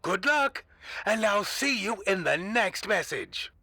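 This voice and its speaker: A man playing a cryptic, slyly menacing old man leaving recorded clues in an audio drama, theatrical and deliberate. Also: high-pitched, sing-song, pushed and bright, dry recording, clean studio sound, heavily acted. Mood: encouraging, mysterious. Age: older. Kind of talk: comedic audio drama acting.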